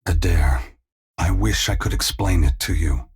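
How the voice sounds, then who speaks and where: Deep, gravel-throated weary god. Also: male voice, darkish tone, full character voice. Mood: gentle, sorrowful. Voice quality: gravelly, husky. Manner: slow, measured, philosophical, formal. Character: weary, compassionate male god, grave and solemn. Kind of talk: video game voice acting, dramatic dialogue.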